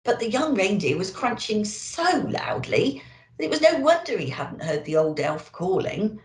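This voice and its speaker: A woman's voice: medium pitch, very animated, moderate pace. A woman reading a children's story expressively, as if talking to young listeners. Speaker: storyteller in character as an elf. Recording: roomy echo.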